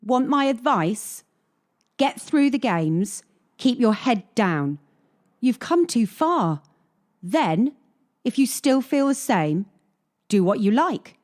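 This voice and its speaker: A woman's voice: fairly high pitch, sing-song, slow pace, pauses often, a little mellow. A woman playing a cynical, hardened young gymnast from Kyiv in a radio play, blunt and world-weary. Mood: stern, resigned.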